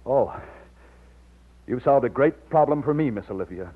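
An actor in an old radio drama playing an earnest, loyal, plain-spoken overseer from Texas. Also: male voice, mid-range voice, lively intonation, normal speed, lightly acted. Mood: eager, courteous. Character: earnest, loyal Texan plantation overseer and war veteran. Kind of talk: old-time radio drama acting with narration. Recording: muffled lo-fi old radio recording.

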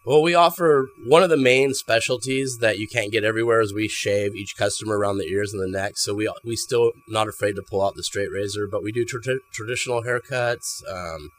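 A man's voice: on the low side, fairly quick, few pauses. A man, a barbershop owner chatting casually in an interview inside a small shop, often repeating words as he thinks. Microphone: handheld mic held by interviewer.

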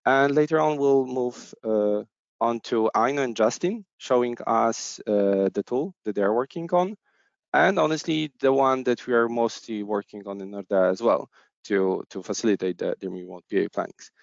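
Webinar host, agile coach; low gravelly voice, halting with fillers, dry lo-fi call audio. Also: male voice, no room sound. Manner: hesitant, many fillers and restarts. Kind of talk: online webinar presentation. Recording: dull, lo-fi video call audio.

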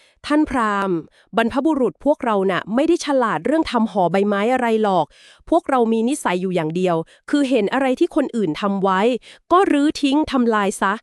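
A woman's voice: fairly high pitch, lively intonation, brisk, no echo, natural voice. A storybook monkey chief , played by a woman, blunt and shameless. The delivery is matter-of-fact, without any anger, in a very dry recording. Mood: blunt, unbothered. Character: male monkey troop leader, blunt, unashamed destroyer.

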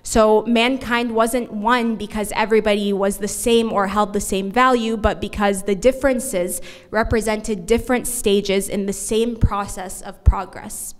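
A young woman presents an academic paper at a podium microphone. Her delivery is measured and steady, with a few small restarts as she reads from her notes, and her voice sits at an ordinary middle pitch. A long reverb from a large conference hall trails behind her words.

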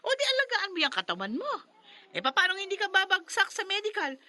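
A woman's voice: extremely high pitch, huge pitch swings, a little fast, bright, forceful tone, full character voice. A woman playing a scolding middle-aged mother in a Filipino radio drama, emphatic and repeating herself. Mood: angry scolding. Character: nagging, scolding middle-aged mother who resents her children's cats.

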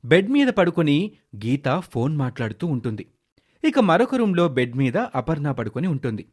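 A man's voice: mid-range voice, a little mellow. A man narrating a scripted moral story as the storyteller.

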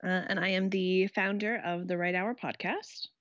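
A woman's voice: lowish voice, fairly even intonation, moderate pace, fairly bright tone, no room sound, muffled recording. A woman hosting a podcast, giving a casual introduction. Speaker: podcast host and founder.

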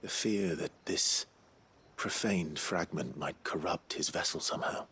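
An actor playing a grim, resolute fantasy game hero, low and gravelly, speaking in a brooding, measured way. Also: male voice, a bit bright, exaggerated voice. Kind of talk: dramatic video game acting.

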